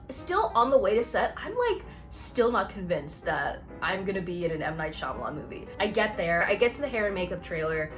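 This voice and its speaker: A woman chatting casually to camera as she tells a personal story, animated and conversational as she acts out reactions. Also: on the high side. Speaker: actor and vlog host telling her own story.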